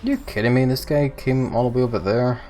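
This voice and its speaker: A man streaming a video game, chatting casually with his viewers as he plays, with chuckling asides and a slightly rough throat. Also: mid-range voice, fairly quick, mellow. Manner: chatty, reads and answers chat while playing. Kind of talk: casual let's-play commentary. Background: faint game music.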